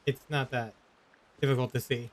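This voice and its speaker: A man's voice: medium pitch, level tone, normal speed, slight background noise. A man giving casual, offhand gameplay commentary as a streamer. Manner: offhand brief remarks. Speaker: game streamer.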